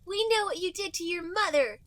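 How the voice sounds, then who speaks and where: A squeaky cartoon schoolgirl, extremely high and sharp. She is earnest and exaggerated, like dialogue from a silly fan-fiction reading, recorded close and dry. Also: fairly quick, no echo. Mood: nervous, accusing.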